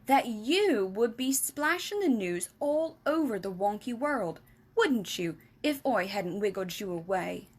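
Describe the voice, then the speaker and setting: A woman's voice: mid-range voice, lively intonation, unhurried, husky. A woman voicing a big friendly male giant for a children's storybook, exuberant and kindly, with mangled grammar and emphatic nonsense words. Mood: fretful, emphatic. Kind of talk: animated children's storybook reading.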